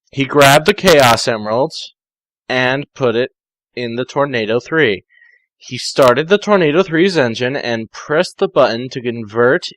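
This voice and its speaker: A man's voice: medium pitch, a bit bright, no room sound, low-quality recording. A man reading amateur fan fiction aloud, breaking in with dry, sarcastic asides.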